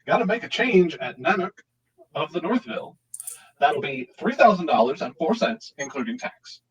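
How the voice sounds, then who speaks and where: A man puts on a gruff cartoon station clerk, very raspy and swinging widely in pitch. He is officious and unbending, heard muffled and away from the mic. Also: big pitch swings, normal speed, far from the mic, full character voice. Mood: befuddled, officious. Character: gruff, unbending train station ticket seller. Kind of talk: cartoon table read.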